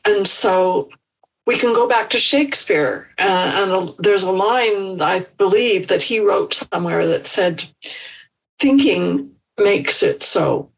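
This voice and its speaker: A woman speaking as an empowerment coach in an interview, measured and thoughtful with small restarts. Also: medium pitch, gravelly, far from the mic, rough recording. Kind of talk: reflective interview answers.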